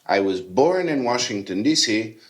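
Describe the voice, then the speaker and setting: A man's voice: on the low side, expressive, normal speed. A middle-aged man raised in Rome, a chef and food tour guide, chatting enthusiastically in a podcast interview. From Rome, Italy. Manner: chatty, enthusiastic, casual fillers.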